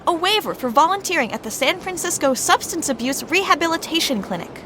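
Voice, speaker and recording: An actress playing a practical, matter-of-fact teammate in an audio comedy drama. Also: female voice, very high voice, very animated, quick pace, noisy background.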